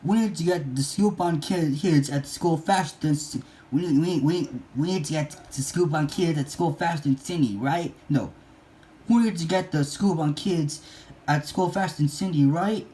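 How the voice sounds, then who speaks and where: A man's voice: fairly high pitch, moderate pace, mellow, pitched above the performer's own voice, close to an everyday voice, rough recording. A man voicing an eager, boastful boy genius from a cartoon, speaking in emphatic declarations, in a storybook read-along. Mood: explaining.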